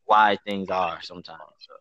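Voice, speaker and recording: A man's voice: low voice, fairly even intonation, normal speed, no echo, dull audio. A man in his twenties from Ohio, chatting casually and rambling with lots of "you know" fillers. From Ohio, USA. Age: 20s. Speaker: conversation partner, amateur photographer. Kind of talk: casual chat.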